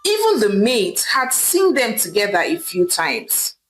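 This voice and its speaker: A woman's voice: medium pitch, huge pitch swings, a little slow, soft background music, rough recording. A woman with a Nigerian accent narrating a scripted story as a storyteller.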